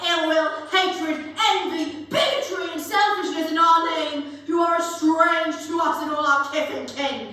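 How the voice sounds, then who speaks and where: A woman's voice: high voice, bright, forceful tone. A teenage girl playing a grand, jovial giant festive spirit, declaiming exuberantly in a live dramatic reading. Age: teens. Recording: very echoey hall, distant mic, faint noise.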